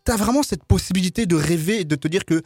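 Jokey guy from kinshasa telling funny stories, voice a bit high, dry sound. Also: quick pace, darkish tone, slightly rough, no room sound, faint music behind. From Kinshasa, DR Congo; grew up in France. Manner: playful, jokey storyteller, often laughing. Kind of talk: humorous anecdotes in casual conversation.

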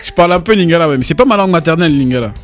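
A man whose first language is Chiluba, chatting as a guest in a lively, joking way. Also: a little high, very fast. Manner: animated, laughs a lot. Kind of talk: casual comedic chat.

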